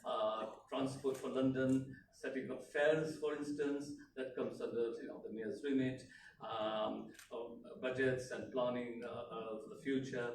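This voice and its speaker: A man's voice: mid-range voice, fairly even intonation, measured pace, slight background noise. Middle-aged man, echoey room, far mic. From Tanzania. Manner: hesitant, many fillers and restarts. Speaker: elected politician speaking at a community event. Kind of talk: unscripted speech. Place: community event room.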